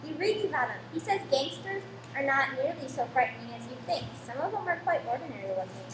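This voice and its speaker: A woman acting an eager, chatty young boy in a radio play, naive and excitable. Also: high voice, quick pace. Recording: echoey room with clear noise.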